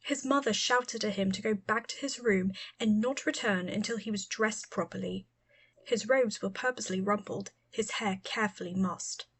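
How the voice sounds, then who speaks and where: A woman narrates an audiobook from a script. Her voice sits at a middling pitch as she reads the story. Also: moderate pace, breathy edge.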